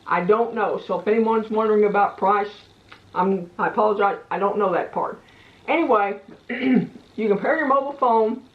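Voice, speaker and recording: A woman giving a casual hands-on product review in a plain-spoken, folksy, meandering way. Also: on the high side. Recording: echoey room, slightly muffled sound.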